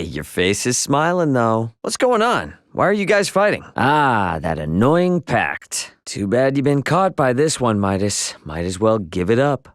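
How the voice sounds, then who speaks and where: An easygoing young male video game hero, with a lively, swinging delivery and a mid-range voice. He sounds cocky but good-natured, casual and teasing. The recording is close and dry. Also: medium pitch, no echo. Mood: surprised, then wry. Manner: casual, slangy, teasing, expressive. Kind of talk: English video game dialogue acting.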